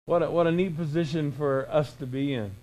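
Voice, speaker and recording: A man's voice: a little high, fairly even intonation, brisk, darkish tone, faint music behind, poor audio. A man in his sixties preaching an informal, conversational sermon in a folksy way, with emphatic repetition and imagined dialogue. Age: 60s.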